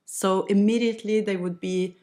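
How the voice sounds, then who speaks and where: A woman in her late thirties from the west coast of Norway, with a Norwegian accent, speaking English natively Norwegian, telling her story as a podcast guest in a relaxed, reflective way. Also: medium pitch, moderate pace. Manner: reflective, easygoing, with light laughs. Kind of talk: casual conversational storytelling.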